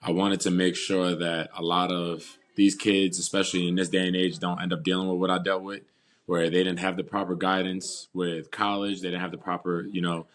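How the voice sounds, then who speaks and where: A young man in his twenties from New York, a football player, answering interview questions in a calm, steady way. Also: deep voice, moderate pace. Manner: calm, steady storytelling with "uh" and "you know".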